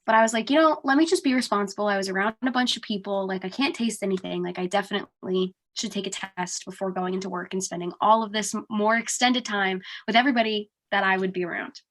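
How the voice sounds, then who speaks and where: A woman's voice: medium pitch, fast talker, hardly any pauses, fairly bright tone. A young woman from New Jersey, sounding congested, telling a story in a casual podcast chat with lots of "like". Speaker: podcast co-host.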